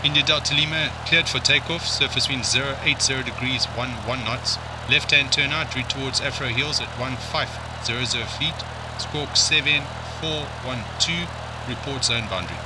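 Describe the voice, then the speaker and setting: A man's voice: medium pitch, fairly even intonation, moderate pace, close to an everyday voice. Man playing tower controller over noisy background. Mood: procedural. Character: male airport tower controller issuing clearances. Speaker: air traffic controller in practice exercises. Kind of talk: scripted radio call practice. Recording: clear vehicle-like background noise.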